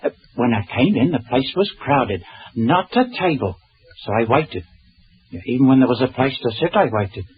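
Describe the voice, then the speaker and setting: A man's voice: medium pitch, animated, normal speed, slightly rough. An actor playing a timid, talkative working-class man who gives evidence in a deferential, hesitant way, in 1940s radio drama style. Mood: eager, deferential. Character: timid, talkative, self-conscious working-class male witness. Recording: thin, phone-like old radio recording.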